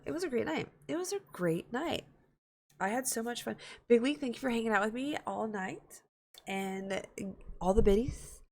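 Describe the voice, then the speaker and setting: An American woman chats through a gaming livestream in a creaky voice. Her pitch is lively and moves around a lot. She talks informally, swears freely and drifts off topic easily. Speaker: live streamer. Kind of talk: casual gaming livestream chat.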